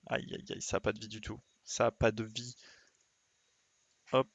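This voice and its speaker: A man's voice: on the low side, level tone, moderate pace, vocal fry, husky, no echo. A man giving casual live commentary as he plays a game, muttering short interjections as he thinks aloud. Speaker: game streamer.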